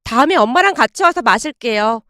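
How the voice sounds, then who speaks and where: A bashful young woman with a high voice, acted by a female narrator. She is courteous and considerate, speaking in a storytelling drama. The sound is dry. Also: lively intonation, fairly quick, pushed and bright, dry recording. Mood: shy, polite.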